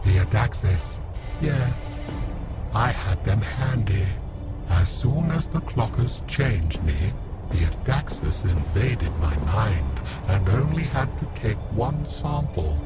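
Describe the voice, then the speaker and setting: An actor playing a cold, vengeful undead villain in an audio drama, speaking in a deliberate, ominous manner. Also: male voice, on the low side, very animated. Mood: grim. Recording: loud music under the voice.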